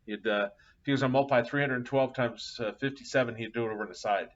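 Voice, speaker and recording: Middle-aged man, math instructor, relaxed rambling lecture, muffled lo-fi mic. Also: medium pitch, quick pace. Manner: casual, chatty, thinking aloud with fillers. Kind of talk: live class lecture with anecdotes. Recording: dull lo-fi classroom capture.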